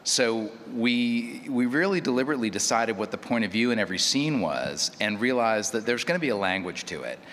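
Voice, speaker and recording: A man's voice: mid-range voice, animated, brisk, hardly any pauses, fairly bright tone. A man speaking as an audio drama producer in a thoughtful panel talk, searching for words and repeating phrases as he explains. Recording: echoey hall with clear background noise.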